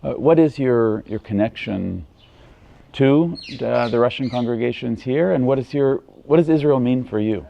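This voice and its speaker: A man working as a journalist interviewer, asking questions in a halting way with many fillers. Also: medium pitch, creaky, highs cut off.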